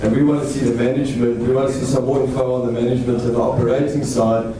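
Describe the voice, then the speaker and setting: A man on an investor panel giving measured, hesitant feedback to a founder. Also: medium pitch, little pitch movement. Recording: echoey room, distant mic, noisy.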